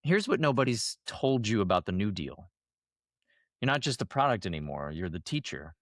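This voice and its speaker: A man hosting a podcast, delivering a scripted monologue while sick and losing his voice, measured and emphatic. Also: lowish voice, very animated, no room sound, clean studio sound. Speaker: podcast host.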